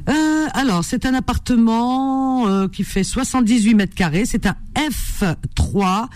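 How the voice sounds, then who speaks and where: A woman's voice: fairly high pitch, measured pace. A woman from Algeria hosting a radio classified-ads show, chatting with lots of fillers and repetitions. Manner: warm chatty delivery with many fillers and repetitions. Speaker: radio host.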